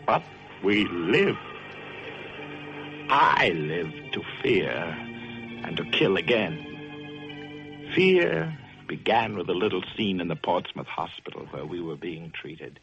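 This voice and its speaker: An actor playing a guilt-haunted, paranoid shipwreck survivor in intense, melodramatic old-time radio suspense. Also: male voice, deep voice, sing-song, slow pace, long pauses, full character voice. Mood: brooding, haunted. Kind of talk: old-time radio suspense acting, first-person narration. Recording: muffled vintage radio recording with music.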